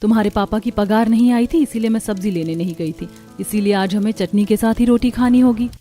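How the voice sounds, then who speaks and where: Woman as a gentle, mellow-voiced young mother. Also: medium pitch, brisk, lightly acted. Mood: reassuring. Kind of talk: cartoon story acting. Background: music.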